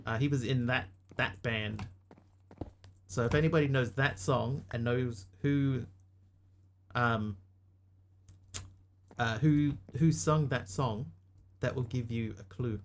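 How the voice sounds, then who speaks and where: A man's voice: medium pitch, slow, pauses often, slight background noise. A man from New Zealand with a New Zealand accent, streaming a game live and chatting casually with his viewers as he reads out their messages. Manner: chatty, reads out chat and answers it. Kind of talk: casual live gaming chat.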